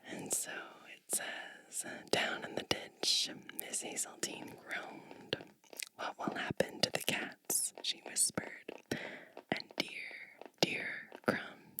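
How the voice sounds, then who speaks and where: A woman whispers a children's storybook in an ASMR style. Her breathy whisper is bright and airy, and she takes it very slowly, lingering gently on phrases and slipping in soft asides about the pages. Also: no echo, poor audio. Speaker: ASMR channel host reading a picture book.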